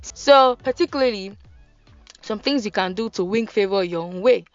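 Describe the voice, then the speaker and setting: A young woman with a Nigerian accent hosting a podcast in casual, animated chat. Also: mid-range voice, normal speed, muffled recording, faint music behind. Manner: chatty, animated, laughs and switches into pidgin. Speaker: podcast host.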